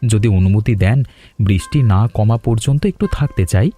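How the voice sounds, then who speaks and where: A man's voice: lowish voice, normal speed, mellow, slightly rough, faint music behind. A man playing a polite, grateful young male traveller from the city in a cartoon dub.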